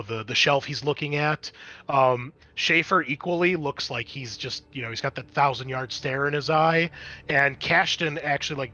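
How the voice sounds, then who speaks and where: A man's voice: mid-range voice, pushed and bright. A man narrating a tabletop roleplaying game as game master in a casual, conversational way, swearing freely.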